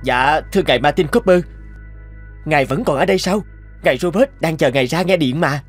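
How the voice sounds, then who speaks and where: Man voicing anxious, high-pitched young male office assistant. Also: a little fast, soft background music, close to an everyday voice. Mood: urgent, polite. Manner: deferential, imploring. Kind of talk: cartoon voice acting.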